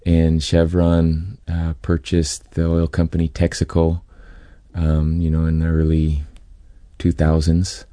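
A middle-aged American man from California giving a reflective, halting personal account as an interview guest. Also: a bass voice, monotone, dark tone, no room sound, studio quality. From California, United States.

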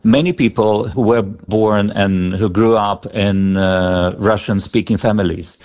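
A man with a Ukrainian accent, representing a book festival in an interview, speaking slowly and carefully with many fillers and restarts. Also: fairly low pitch, a little mellow. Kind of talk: radio interview answers.

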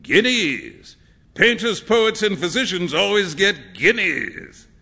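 A man's voice: sits high, very animated, slow pace, creaky, pushed and bright, breathy edge, pitched above the performer's own voice, heavily acted. A man voicing a flamboyant, opinionated painter in a storybook, with theatrical, emphatic and pompous delivery. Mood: breezy, superior. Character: flamboyant male painter, grandly opinionated, excitable and teasing. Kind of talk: acted storybook dialogue.

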